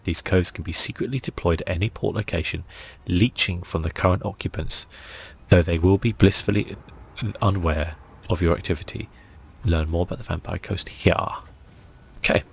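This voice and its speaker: A man hosting a gaming channel, speaking in a soft, hushed, relaxed ASMR style as he comments and reads aloud close to the mic. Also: moderate pace. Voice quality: hushed, breathy, creaky. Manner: relaxed, low-key.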